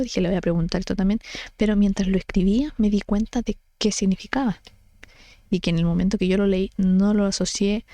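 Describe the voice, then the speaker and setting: A woman's voice: medium pitch, dark tone, dry recording. A woman chatting casually as she explains things, thinking aloud and correcting herself in an informal way. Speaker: book study vlogger.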